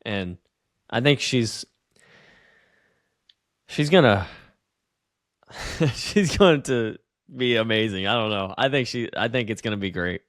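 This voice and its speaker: A young man hosting a casual discussion, speaking earnestly and halting on repeated words. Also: medium pitch, expressive, no echo, studio quality. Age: young adult.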